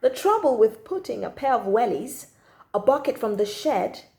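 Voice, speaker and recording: A woman reading a children's story aloud in an expressive, emphatic storytelling style. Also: a little high, normal speed, creaky. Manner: animated, emphatic, acts out the child narrator's feelings. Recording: echoey room.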